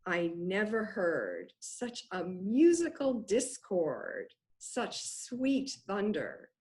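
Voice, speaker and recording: A woman reads the role of a proud warrior queen in a Shakespeare play. Her voice sits at an average female pitch and moves very slowly through the verse. The delivery is formal and deliberate. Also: very animated, darkish tone. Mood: wistful pride. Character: proud warrior queen reminiscing about great hunts. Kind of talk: Shakespeare table read. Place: video call.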